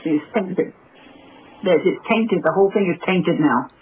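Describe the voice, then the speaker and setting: A woman's voice: on the low side, lively intonation, measured pace. Noisy tinny phone call, middle aged woman just talking casually. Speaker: mother on a jail phone call. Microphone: telephone. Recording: noisy, muffled recorded phone line.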